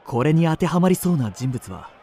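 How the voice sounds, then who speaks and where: A man playing a suave, well-bred young gentleman traveller in a radio drama, measured, polished and courteous. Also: on the high side, sing-song, a little hiss or hum. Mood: gallant, confident. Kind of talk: radio drama narration and dialogue.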